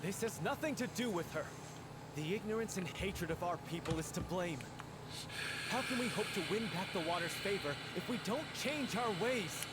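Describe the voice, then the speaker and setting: High-voiced earnest stage hero. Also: male voice, animated, normal speed, very noisy. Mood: pleading. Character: earnest, stern male hero in a stage play. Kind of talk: theatrical video game acting.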